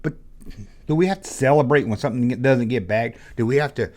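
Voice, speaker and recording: A man hosting a toy collecting channel, ranting bluntly in a casual, exasperated way, his voice hoarse from being sick. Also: medium pitch, fairly quick, a little mellow. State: hoarse, losing his voice after illness. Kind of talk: casual opinion rant to camera.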